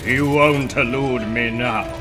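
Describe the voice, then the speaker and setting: A man's voice: medium pitch, fairly even intonation, lightly acted. A man playing a boastful, menacing supervillain scientist with mechanical arms in a comic book dramatic reading. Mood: boastful scheming. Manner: slow, menacing, theatrically boastful. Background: loud music.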